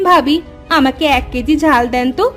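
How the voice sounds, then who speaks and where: A woman's voice: high-pitched, normal speed, fairly bright tone. A woman voicing a polite village neighbour in a cartoon, friendly and deferential, with music behind. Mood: friendly request. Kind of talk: cartoon character acting.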